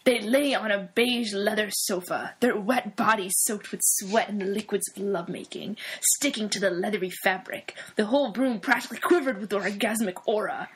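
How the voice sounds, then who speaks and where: A woman's voice: mid-range voice, moderate pace, hardly any pauses, breathy edge, low-quality recording. A woman narrating a parody romance with a straight face, holding back laughter. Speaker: reader. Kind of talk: parody dramatic reading.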